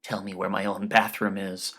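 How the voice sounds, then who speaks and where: A man narrating plays a middle-aged father in an audiobook story. He is fond of his family and quick with a joke. His delivery is eager and earnest. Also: sits low, level tone, fairly bright tone, breathy edge. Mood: muttering irritation.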